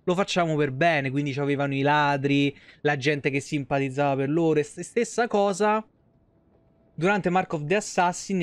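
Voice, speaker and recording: A man with a smooth, fairly high voice and a Roman Italian accent. He talks like a game streamer chatting to viewers, rambling in colloquial, sweary speech. The sound is dry and close. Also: no room sound. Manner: colloquial, swearing, animated digressions. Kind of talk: casual livestream commentary, chatty. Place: small indoor streaming room.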